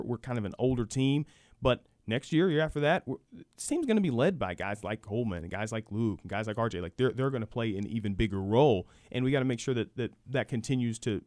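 A man's voice: mid-range voice, darkish tone, dry recording, clean studio sound. An American man explaining college sports conversationally as a guest expert in a radio interview, emphatic and fond of hypothetical examples.

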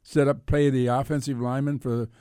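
A man co-hosting a sports talk radio show, asking questions in a conversational, halting way. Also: medium pitch, little pitch movement, mellow, smooth, no room sound, studio quality.

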